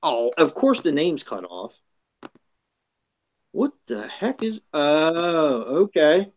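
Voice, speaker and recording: A man's voice: a little high, very animated, takes it slowly, dull audio. A man in a casual group chat who is eager and pushes his friends for answers.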